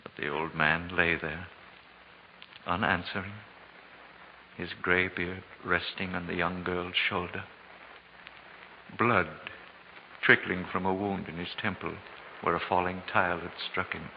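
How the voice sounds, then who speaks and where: A man narrating a 1940s radio drama in a solemn, theatrical, measured way with dramatic pauses. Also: low voice, flat delivery, a little hiss or hum. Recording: muffled 1940s radio recording. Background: music.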